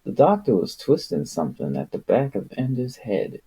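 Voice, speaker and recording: A man reading a story aloud as an amateur narrator, in an expressive, dramatic manner. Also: mid-range voice, normal speed, gravelly, breathy edge. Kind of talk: expressive audiobook-style narration.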